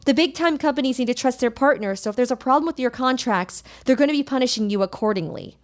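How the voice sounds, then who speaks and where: A woman's voice: sits high, fast talker, close to an everyday voice. A woman playing a confident, teasing young ex-delinquent who runs a company, self-assured and playful in a comic manga dub. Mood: defiant.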